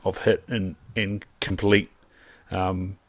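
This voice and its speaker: A young man talks eagerly as a podcast guest, piling up restarts and fillers as he goes. His voice sits low. The sound is close and dry, with no room around it. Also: level tone, takes it slowly, husky, no room sound. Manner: enthusiastic, rambling with lots of "like" and restarts. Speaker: podcast guest, hunter and optometrist. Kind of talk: casual interview chat.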